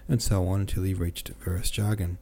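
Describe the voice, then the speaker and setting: A man voicing a gossipy, smiling adjutant in an audiobook, talking smoothly and at length. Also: deep voice, level tone, fairly quick, warm tone. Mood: chatty. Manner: smooth, talkative storytelling. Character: smiling gossipy male Russian adjutant, chatty.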